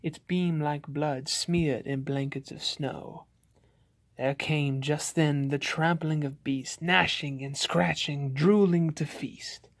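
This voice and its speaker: A man voicing a frightened father who narrates a scary Christmas poem in a theatrical, ominous, drawn-out manner. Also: a little high, fairly even intonation, vocal fry, no echo. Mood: hushed suspense. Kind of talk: dramatic storybook poem reading.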